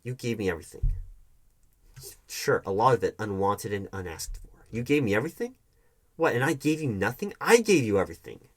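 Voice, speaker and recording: A man playing a defensive, wounded hiring manager arguing with his ex in a conversational audio roleplay, halting and often throwing questions back. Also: on the low side, big pitch swings. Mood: defensive, exasperated. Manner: defensive, halting, repeats the other's accusations as questions. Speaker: roleplay performer. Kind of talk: one-sided audio roleplay, conversational acting.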